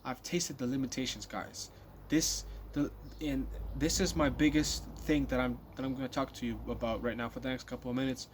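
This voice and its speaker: A man's voice: medium pitch, fairly even intonation, brisk, a little hiss or hum. Rambling nineteen-year-old man vlogging. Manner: rambling, many fillers and repeated restarts. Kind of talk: casual spoken monologue to viewers.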